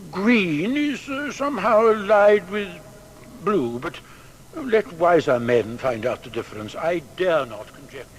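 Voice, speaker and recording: An actor playing a hesitant, pedantic seventeenth-century scholar in a dramatised audio biography. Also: male voice, sits high, sing-song, a little slow, a little hiss or hum, rough recording. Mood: hesitant, unsure. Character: Isaac Barrow, hesitant male 17th-century scholar and mentor.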